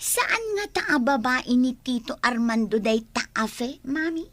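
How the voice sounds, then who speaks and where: A woman's voice: fairly high pitch, animated, normal speed, a bit bright, no room sound. A woman with an Ilocano accent playing a spoiled, meddling, bossy daughter in a radio comedy drama, in a bratty, tattling way. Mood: conspiratorial. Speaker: voice actor.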